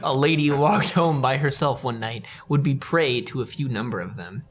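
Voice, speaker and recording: A man's voice: medium pitch, normal speed, a little hiss or hum. A man reading narration aloud from a visual novel for a gaming show.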